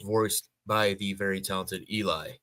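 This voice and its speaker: A man's voice: deep voice, steady tone, normal speed, pushed and bright. An American man co-hosting a podcast with wry, crude, teasing banter. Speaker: podcast co-host. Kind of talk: casual banter.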